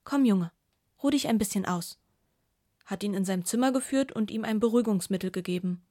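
A woman's voice: medium pitch, fairly quick, dark tone, no echo, studio quality, lightly acted. A woman playing a former household girl in a radio play, reminiscing fondly as a witness. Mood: reflective.

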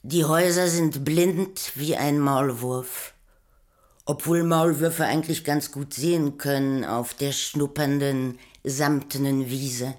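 A woman playing a hushed, dreamy storyteller in a poetic radio play, slipping now and then toward a whisper. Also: deep voice, fairly even intonation. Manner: slow, hushed, dropping into whispers. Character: hushed, dreamy female storyteller of a sleeping city quarter. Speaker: narrator.

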